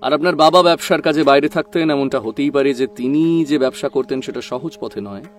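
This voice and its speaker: An actor playing a composed, probing male detective in an audio drama, questioning in a deliberate way. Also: mid-range voice, soft background music, lightly acted. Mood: analytical. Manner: inquisitive, deliberate.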